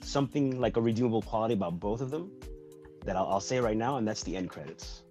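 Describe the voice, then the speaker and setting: A man's voice: on the low side, expressive, fast talker, dark tone, soft background music. A man on a review panel, chatting casually and bluntly, often repeating himself.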